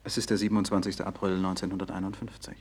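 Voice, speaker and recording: A respectful doctor caring for an old teacher in a 1960s radio drama. His voice is lowish and rough-edged, his delivery formal, deferential and full of concern. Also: level tone, quick pace, a little mellow. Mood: dutiful, concerned. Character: respectful, anxious male physician, loyal former pupil. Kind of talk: 1960s German radio play acting.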